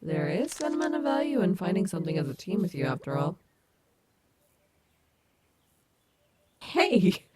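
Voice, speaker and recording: Woman acting as cocky female animatronic wolf, lower pitch, teasing, caring, audio roleplay, background noise. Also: very animated, a little fast. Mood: fond, bossy. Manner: teasing, affectionate, snarky.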